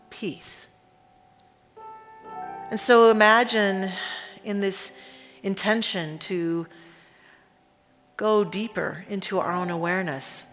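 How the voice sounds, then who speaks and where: A woman leading a guided meditation in a reverent, unhurried manner, pausing deliberately between short phrases. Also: fairly low pitch, a bit bright. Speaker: spiritual leader guiding a meditation. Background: instrumental music.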